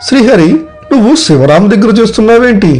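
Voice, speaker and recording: Man acting a high-pitched, prying young man. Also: expressive, fast, darkish tone, soft background music. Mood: mocking surprise. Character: tactless young male acquaintance, prying and judgmental. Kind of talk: acted dialogue in an animated moral story.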